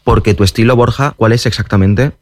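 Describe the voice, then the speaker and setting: A man's voice: on the low side, a little fast, dry recording, clean studio sound. A male interviewer asking short questions and adding brief prompting remarks.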